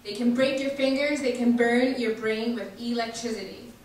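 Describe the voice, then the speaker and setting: A woman reciting a poem in measured, deliberate short phrases in a large echoey hall. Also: fairly high pitch, fairly even intonation, darkish tone. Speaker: reader at a memorial staged reading. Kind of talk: poetry recitation. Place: large echoey indoor hall, distant microphone. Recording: echoey hall from far off, faint noise.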